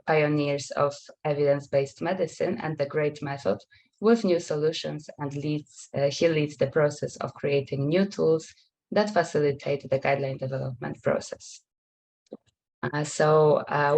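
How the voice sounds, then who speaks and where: A young woman hosting a webinar, reading out speaker introductions carefully and a little haltingly on a video call. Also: deep voice, steady tone, darkish tone, poor audio.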